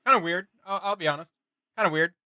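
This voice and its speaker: A man with a forceful, bright and fairly high voice, recorded close and dry. He chats informally and jokes as he hosts a reaction video. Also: fast talker, no echo. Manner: informal, joking. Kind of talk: casual reaction commentary.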